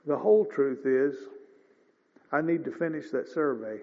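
A man in his fifties preaching a sermon in a folksy, conversational way, often clearing his throat. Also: a little high, moderate pace. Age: 50s. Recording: dull lo-fi sound with long reverb.

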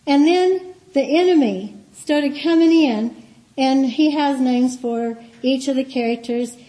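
A woman with a light, higher voice gives a church teaching in a meeting room, talking in an easy, chatty way. Her tone is mellow rather than bright, and at times she drifts off the mic. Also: a little slow, poor audio. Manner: warm, chatty storytelling with soft laughs and asides. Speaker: guest speaker.

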